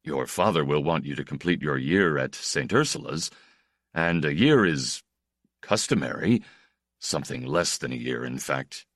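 A polite, reserved grown man, read by a male narrator with a very deep voice and a lively, measured delivery. He speaks with warm restraint. The recording is dry and close. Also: no room sound, exaggerated voice. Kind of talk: audiobook character voice.

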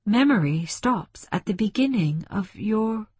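A woman voicing a calm, puzzled male colleague in a science fiction story, with soft, murmured questions. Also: medium pitch, measured pace, breathy edge, no room sound, pitched above the performer's own voice.

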